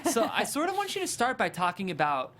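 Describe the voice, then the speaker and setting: A man's voice: high voice, wildly swooping pitch, fast, fairly bright tone. A man hosting a script table read, reading stage directions and interviewing in an articulate, effusive way.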